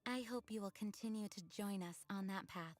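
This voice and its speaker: A woman's voice: mid-range voice, fairly quick, lightly acted. A woman playing a noble young female knight leader in an anime-style video game, speaking formally and sincerely. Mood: earnest, hopeful.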